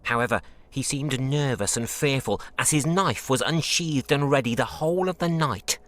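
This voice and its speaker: Casting: male actor as shady British liquor seller for a game, blunt and guarded, bright forceful delivery. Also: mid-range voice, normal speed, natural voice. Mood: forthcoming. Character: gruff, wary shady British tavern keeper selling homemade liquor. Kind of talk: video game voice acting.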